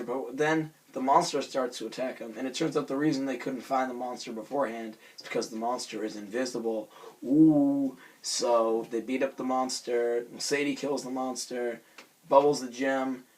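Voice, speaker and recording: Hoarse, raspy young man vlogging. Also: medium pitch, fairly even intonation, normal speed, low-quality recording. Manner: self-conscious, rambling with many fillers and restarts. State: hoarse from screaming. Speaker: vlogger reviewing a cartoon episode. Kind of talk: casual rambling vlog.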